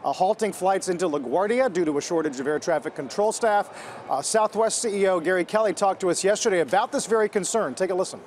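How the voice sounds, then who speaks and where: A male American news anchor with a fairly high voice delivers live TV news at a very quick pace. There is faint ambient noise behind him. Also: a little mellow.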